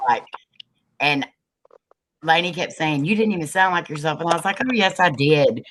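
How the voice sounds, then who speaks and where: A woman with a low voice, a paranormal investigator, telling a story on a group video call with many ums and restarts. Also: lively intonation, normal speed, fairly bright tone, a little hiss or hum. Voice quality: low, manly-sounding voice. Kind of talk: casual group video chat.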